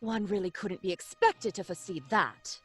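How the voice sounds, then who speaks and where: A woman's voice: mid-range voice, moderate pace, fairly bright tone, slightly rough, dry recording, faint music behind. A woman playing an exasperated, bossy young English daughter in an old-fashioned radio drama, with an English accent. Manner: bossy, sarcastic, impatient. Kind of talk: 1930s-style radio drama acting.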